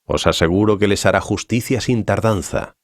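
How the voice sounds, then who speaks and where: An actor playing a solemn, authoritative male teacher in a dramatized scripture reading, speaking in a measured, didactic way. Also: lowish voice, no room sound, studio quality, lightly acted. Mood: solemn teaching.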